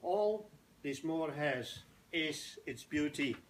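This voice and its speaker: An older man with a Dutch accent giving an informal public talk in a halting, anecdotal way. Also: fairly high pitch, animated. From Netherlands. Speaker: festival organiser giving a presentation. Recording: noticeable background noise, live talk.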